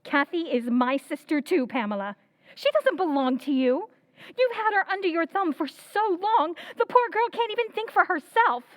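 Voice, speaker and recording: A confident young sister standing her ground in an old-time radio play. Her voice is very high and lively, with an indignant edge. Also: normal speed, exaggerated voice. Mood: defiant. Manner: indignant, defiant. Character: confident middle sister, young woman, defiant. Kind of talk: 1940s radio acting.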